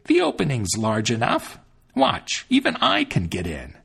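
A man voicing a scrawny, wicked old witch with a shrill, slow and sly way of speaking. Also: huge pitch swings, gravelly, a bit bright, slightly rough, heavily acted. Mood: impatient, scornful.

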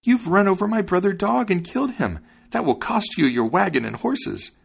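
A man's voice: a little high, wildly swooping pitch, normal speed, gravelly, slightly rough, voice pushed up, heavily acted. A man voicing a small, bold, loyal and vengeful sparrow in a storybook tale, speaking emphatically. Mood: threatening, taunting. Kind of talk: storybook character voice.

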